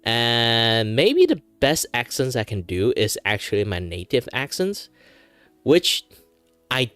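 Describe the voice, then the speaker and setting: Man, 30s, from Malaysia, native Chinese speaker, casual chatty streamer, lively pitch, dry close sound. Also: takes it slowly, no room sound, faint music behind. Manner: chatty, filler-heavy, laughs often. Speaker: streamer and aspiring voice actor. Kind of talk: casual chat while drawing on stream.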